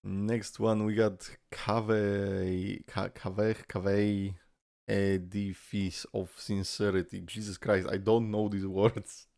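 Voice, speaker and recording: A man's voice: on the low side, little pitch movement, slow pace, creaky, darkish tone, no room sound, studio quality. A young man hosting a casual reaction video, joking loosely, swearing and laughing often.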